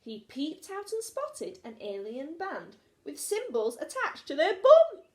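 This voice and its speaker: A woman's voice: high-pitched, huge pitch swings, unhurried, a little mellow. A woman teacher reading a children's story aloud expressively, recorded in an echoey room.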